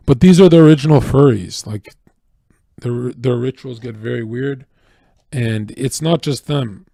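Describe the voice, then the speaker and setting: A man's voice: mid-range voice, vocal fry, mellow, no echo. A tired man delivering a rambling, profane, preachy monologue as a streamer, with frequent restarts. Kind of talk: casual opinionated monologue.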